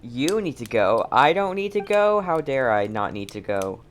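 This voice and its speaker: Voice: mid-range voice, sing-song, moderate pace, slight background noise. A streamer giving casual, rambling, playful commentary during a game playthrough.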